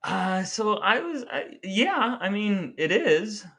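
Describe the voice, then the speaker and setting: A man's voice: high voice, big pitch swings, slow, sharp tone. A man chatting casually as a podcast co-host, with a wry, dry sense of humor. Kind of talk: casual chat.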